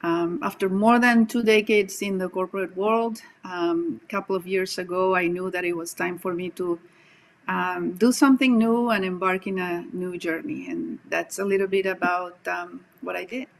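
A woman in her forties from Colombia with a Colombian accent, speaking earnestly and reflectively as a coach guest on a video call from a home room, pausing often with "um". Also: fairly low pitch, fairly even intonation, gravelly, rough recording. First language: Spanish. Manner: earnest, reflective, many "um" pauses. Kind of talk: casual video-call interview.

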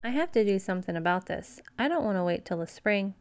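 A woman voicing an excitable, tender-hearted young girl bunny in a storybook, with an expressive, earnest delivery. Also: medium pitch, fast, clean tone, lightly acted. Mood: wistful, determined.

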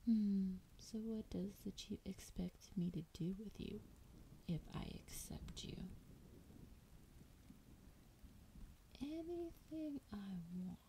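A woman voicing a sultry, menacing female dragon in a breathy, whispered fantasy roleplay, teasing and drawing out her words. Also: medium pitch, warm tone, slight background noise, low-quality recording. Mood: flirtatious, playful. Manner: slow, teasing, drawn-out delivery.

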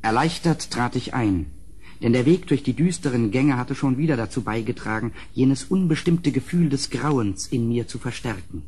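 An actor playing an uneasy gentleman who recounts a gothic horror tale in measured, dramatic literary phrasing for a German radio play. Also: mid-range voice, breathy edge. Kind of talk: scripted radio play narration.

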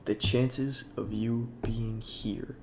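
Congested young guy talking slow and unsure, gravelly voice, bit of hiss. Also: medium pitch, level tone. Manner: halting, soft-spoken, many fillers. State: congested, sniffling. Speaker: YouTuber. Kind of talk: casual talk over gameplay.

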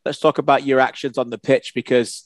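A man's voice: mid-range voice, little pitch movement, brisk, no room sound. A middle-aged man with an English accent, interviewing a footballer over a video call. He sounds friendly and encouraging, and often trips over repeated words. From England. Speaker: interviewer, host. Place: home study with bookshelves. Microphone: headset mic. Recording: dry, close headset sound.

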